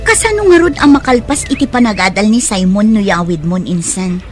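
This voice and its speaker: An actress playing a greedy, scheming aunt in an audio drama, speaking with an Ilocano Filipino accent in an exclamatory, theatrical way. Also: female voice, mid-range voice, expressive, fairly quick, over music, close to an everyday voice. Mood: falsely caring. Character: greedy, scheming aunt exploiting her singing nephew.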